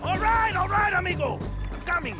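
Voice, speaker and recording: An actor playing a cocky, mocking outlaw in a western game. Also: male voice, extremely high pitch, lively intonation, brisk, over loud music. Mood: taunting.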